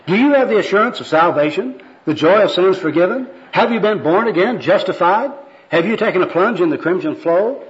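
A man's voice: high voice, animated, brisk, pitched above the performer's own voice. A man reading a skit, voicing a kindly old evangelist who is earnest, genteel and pompous with church jargon. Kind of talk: amateur skit read aloud. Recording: dull, lo-fi recording.

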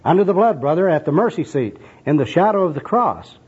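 Man doing a fervent, high-pitched religious zealot. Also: very animated, brisk, husky, full character voice. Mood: fervent, insistent. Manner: florid, preachy, exclamatory. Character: fervent jargon-spouting male religious zealot, friendly but insistent. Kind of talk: comic skit acted out in a sermon. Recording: dull, muffled lo-fi recording.

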